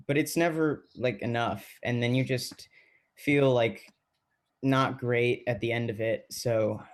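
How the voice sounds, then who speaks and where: Man playing a hesitant, halting teacher. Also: medium pitch, natural voice. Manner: hesitant, filler-heavy, halting. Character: thoughtful, lonely male teacher in documentary play. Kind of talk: casual documentary theater monologue.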